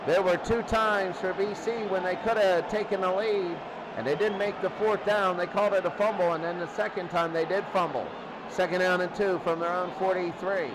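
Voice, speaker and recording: A man calling football play by play in short, clipped, excitable phrases. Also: high-pitched, normal speed. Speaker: play-by-play sports commentator. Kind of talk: live American football commentary. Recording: noisy crowd bed behind commentary.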